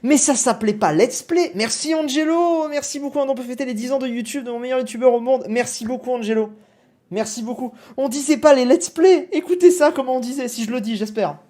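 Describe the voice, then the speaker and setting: A young man reacting to his own old video on a live stream, animated, exclamatory and self-mocking. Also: very high voice, brisk.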